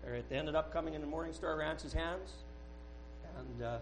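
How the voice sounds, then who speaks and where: A man tells his testimony aloud during a church service, earnest and halting as he keeps circling back to restart his sentences. His voice sits a little high for a man, and a faint electrical hum runs beneath it. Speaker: church member giving a testimony. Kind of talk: rambling spoken testimony.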